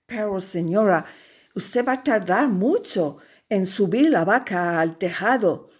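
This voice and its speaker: A woman voicing a blunt, exasperated English gentleman who stammers as he scolds. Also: mid-range voice, animated, slow pace, husky. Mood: polite.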